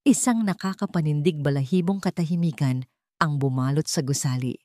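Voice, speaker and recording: A woman's voice: low-pitched, fairly quick, warm tone, dry recording, studio quality. A woman with a Filipino accent reading a scripted dramatic story as a narrator.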